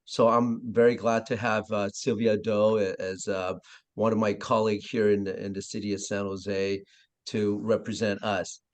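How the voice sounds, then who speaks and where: A councilmember with a Vietnamese accent, speaking haltingly on a webinar panel with many pauses and throat clearing. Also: male voice, on the low side, little pitch movement. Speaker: city councilmember.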